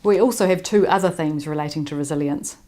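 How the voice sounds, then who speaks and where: Woman, New Zealand accent, low voice, slow deliberate conference presentation. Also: warm tone. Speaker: conference speaker. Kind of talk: prepared presentation read by remote video. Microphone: recorded remotely, not in person.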